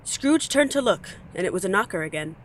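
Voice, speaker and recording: A woman acts as a wry, theatrical narrator of a ghost story. She has a medium-pitched voice and deliberate, emphatic delivery, with noise audible behind her. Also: big pitch swings, lightly acted, rough recording. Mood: wry, suspenseful. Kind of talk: dramatic storybook narration in an audio play.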